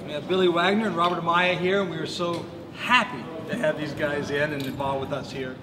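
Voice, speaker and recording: A man's voice: a little high, steady tone, brisk. A middle-aged man speaking casually as a film producer in a promotional interview. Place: large indoor batting-cage facility. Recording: loud crowd chatter behind.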